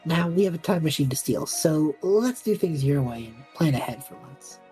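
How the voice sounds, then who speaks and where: A woman's voice: low voice, animated, normal speed, mellow, faint music behind. A young woman playing an easygoing, impulsive student with a playful, dry manner in a naturalistic audio drama. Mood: teasing.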